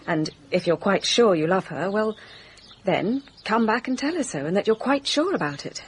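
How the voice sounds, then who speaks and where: An actress playing a composed, formal young gentlewoman hiding hurt in a British radio mystery drama. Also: mid-range voice, lively intonation, normal speed, slight background noise, lightly acted. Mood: earnest, resolute. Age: young adult. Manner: controlled, formal, decisive.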